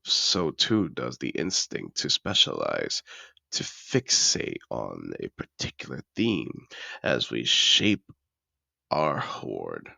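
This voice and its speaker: A man's voice: rumbling low, creaky, bright, forceful tone, no echo, heavily acted. A man playing a suave, possessive, wealthy dragon in human disguise, telling his story in a refined, theatrical manner in a scripted fantasy audio roleplay.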